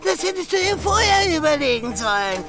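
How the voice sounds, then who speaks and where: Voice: extremely high pitch, sing-song, brisk, music in the background, exaggerated voice. An actor playing a cold, menacing young gang leader in an audio drama. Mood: cold, threatening.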